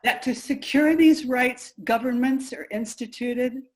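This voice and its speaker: A woman's voice: fairly high pitch, measured pace, lightly acted. A woman playing a resolute nineteenth-century suffragist, reciting formally for reader's theater. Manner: formal, declaratory. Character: resolute 19th-century suffragist declaring women's grievances.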